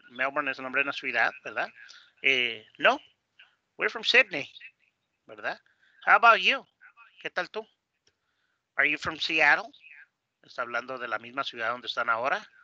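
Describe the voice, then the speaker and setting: A male English teacher explains a lesson in a very bright, forceful voice. He pauses and repeats words as he goes. The sound is close and dry, with a slightly dull online-class quality. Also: medium pitch, no echo.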